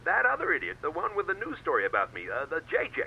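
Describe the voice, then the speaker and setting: A man's voice: fairly high pitch, wildly swooping pitch, fast talker, gravelly, full character voice. Cranky old man played by an actor, swooping wildly. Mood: irritated bluster. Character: cranky, blustering old small-town husband. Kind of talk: comic radio drama phone-in. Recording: dull phone line, faint noise.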